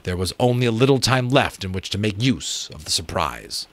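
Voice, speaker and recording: A man in his thirties or forties giving an expressive, emphatic dramatic reading of an adventure novel. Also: fairly low pitch, normal speed, dry recording. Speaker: audiobook reader and channel host. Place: small room at home with bookshelves. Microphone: close desk microphone.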